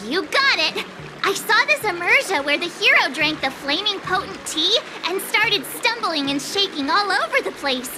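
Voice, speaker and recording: A woman's voice: very high-pitched, moderate pace, low-quality recording. A woman voicing a cocky, perky teenage girl learning swordplay, in an anime-style video game. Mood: playful, eager. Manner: perky, excitable, playful. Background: game music.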